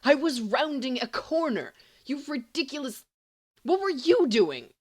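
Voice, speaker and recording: A woman's voice: high voice, big pitch swings, unhurried, pitched above the performer's own voice, heavily acted. A woman voicing an exasperated, bookish teenage schoolboy in an audiobook, asking clipped and indignant questions. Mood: outraged.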